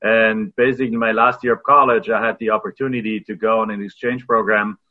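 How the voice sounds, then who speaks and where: A man's voice: lowish voice, flat delivery, a little fast. German-accented guest in his 40s, reflective storyteller, phone-quality audio. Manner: reflective, many fillers and restarts. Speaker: podcast guest. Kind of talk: casual interview storytelling.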